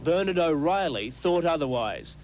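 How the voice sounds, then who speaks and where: A man's voice: on the high side, big pitch swings, moderate pace, background noise. A man with an Australian accent narrating a scripted television news documentary as a reporter. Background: music.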